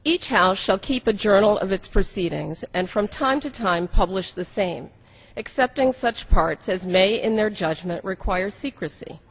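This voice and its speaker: A woman's voice: medium pitch, animated, moderate pace, husky, low-quality recording. An American congresswoman giving a formal reading of a legal text.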